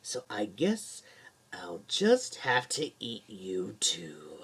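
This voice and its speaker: A man voicing a hungry, menacing snake-like demon in a story, with slow, taunting delivery over music. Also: fairly low pitch, wildly swooping pitch, a bit bright, breathy edge, heavily acted. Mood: menacing hunger.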